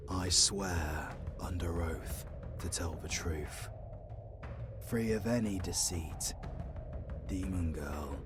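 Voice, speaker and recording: A man playing a stoic demon hunter in a fantasy audio drama, grave, formal and breathy. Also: deep voice. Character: solemn stoic male demon hunter on trial.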